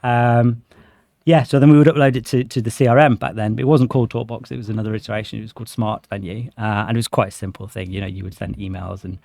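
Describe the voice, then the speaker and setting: A man's voice: fairly low pitch, steady tone, fast, creaky, darkish tone, no room sound. A man of thirty-nine with an English accent, chatting casually and telling informal anecdotes as a podcast guest. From England, grew up in Shropshire. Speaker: podcast guest, sales director. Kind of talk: casual conversational storytelling.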